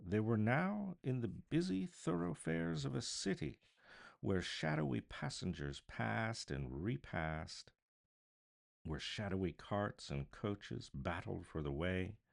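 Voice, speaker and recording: Slow, low-pitched male storyteller. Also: creaky. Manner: theatrical, deliberate, expressive. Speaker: audiobook narrator, voice artist. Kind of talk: dramatic literary storybook narration.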